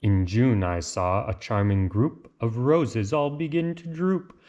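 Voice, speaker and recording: A man reading a children's picture book aloud in an expressive, sing-song, theatrical way. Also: medium pitch, takes it slowly, muffled recording, a little hiss or hum. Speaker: read-aloud storyteller.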